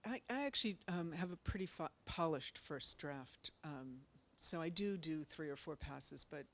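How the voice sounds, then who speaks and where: A woman's voice: deep voice, expressive, normal speed. A woman speaking as a translator on a panel, thoughtful and a bit hesitant. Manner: thoughtful, hesitant, with small restarts. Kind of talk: casual panel discussion. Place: library event space with live audience.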